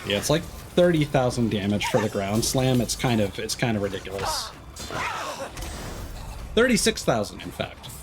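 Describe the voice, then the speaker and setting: A man's voice: lowish voice, very animated, normal speed, music in the background. A man streaming a video game, giving casual live commentary and thinking aloud as he plays.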